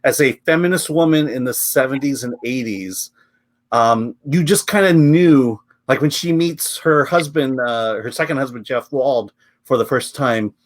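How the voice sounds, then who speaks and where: A man hosts a relaxed film review chat at an ordinary mid-range pitch. He speaks loosely, with plenty of fillers and easy laughter between points. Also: moderate pace. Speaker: film review show host.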